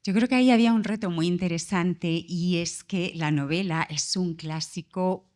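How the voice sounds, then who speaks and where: A woman who is a director, speaking on a panel in an articulate, measured way. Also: lowish voice, darkish tone. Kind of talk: panel answer.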